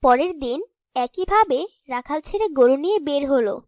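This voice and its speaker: A woman narrates a scripted fairy tale as storyteller. Her voice is very high for a woman, and the recording is dry, with no room echo. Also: lively intonation, unhurried, a little mellow.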